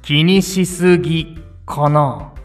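A man's voice: on the high side, slow. A man playing an easygoing, slightly forgetful father in an amateur family radio drama, speaking casually and expressively. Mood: casual concern. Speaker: actor in a family audio drama. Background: background music.

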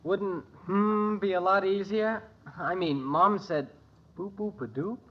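A bossy, earnest teenage boy in a vintage radio sitcom. The voice sits fairly high and sounds insistent and sure of itself. The old recording is muffled and very noisy. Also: takes it slowly. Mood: eager, puzzled. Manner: know-it-all, insistent. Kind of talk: 1940s-50s radio sitcom acting.

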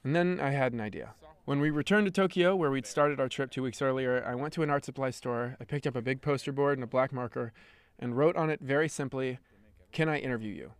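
A young American man with an American accent, hosting a podcast and telling a travel story in measured scripted narration. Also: mid-range voice, clean tone. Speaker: podcast host.

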